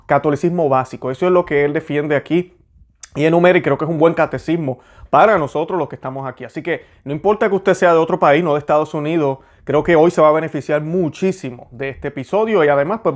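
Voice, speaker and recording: Earnest man hosting a faith show. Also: fairly high pitch. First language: Spanish. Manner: earnest, conversational, with many fillers. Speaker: host. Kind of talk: religious commentary and prayer.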